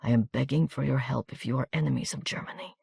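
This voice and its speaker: A reader murmuring as a dying foreign soldier. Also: female voice, very deep voice, steady tone, quick pace, a little mellow, no echo, exaggerated voice. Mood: desperate. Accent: soft foreign accent. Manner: weak breathy murmur, pleading. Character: wounded, desperate young male soldier, foreign.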